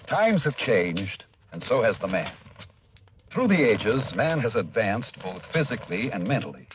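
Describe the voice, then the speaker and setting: A man delivering solemn, measured, oratorical scripted narration as an old-time radio announcer. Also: fairly low pitch, big pitch swings. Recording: old radio recording with faint noise.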